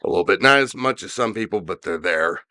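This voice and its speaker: A middle-aged man chatting on a podcast, his voice a little stuffed up and nasal today. His tone is bright and he rambles, circling back with restarts and fillers. He talks loosely and casually. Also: sits low, expressive, quick pace. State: stuffy nose, slightly nasal. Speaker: podcast co-host discussing wrestling. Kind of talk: casual chat.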